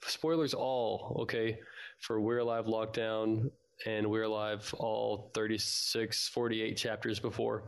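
Creaky-voiced man chatting on a fan podcast. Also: lowish voice, little pitch movement, a little slow. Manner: informal, chatty. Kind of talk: casual fan podcast chat.

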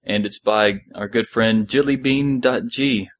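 A man's voice: on the low side, a little slow. A man co-hosting a podcast in casual chat, talking in an informal, rambling, jokey way. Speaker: podcast co-host. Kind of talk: casual chat about an audio drama.

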